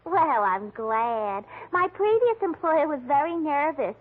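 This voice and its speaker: A woman's voice: high-pitched, very animated, measured pace. A woman playing a scatterbrained, flustered secretary who puts on prim airs and mangles big words, in a 1940s radio comedy style. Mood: eager, dithering. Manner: affected, malapropism-prone. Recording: muffled, band-limited 1940s radio recording.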